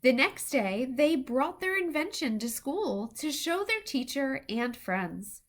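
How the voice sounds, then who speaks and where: A woman reading a children's story aloud in an expressive, deliberate storytime style. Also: high-pitched. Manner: deliberate, animated delivery for young children.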